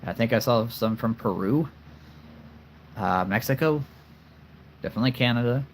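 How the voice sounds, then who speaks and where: A man's voice: mid-range voice, normal speed, slight background noise. A man hosting a casual livestream, talking earnestly and rambling with many fillers and restarts. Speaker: collectible figure channel host.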